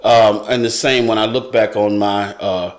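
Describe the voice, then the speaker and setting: A man's voice: on the low side, steady tone, moderate pace. A man speaking casually as a panel guest, hesitant and often repeating himself as he searches for words. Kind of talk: casual conversation.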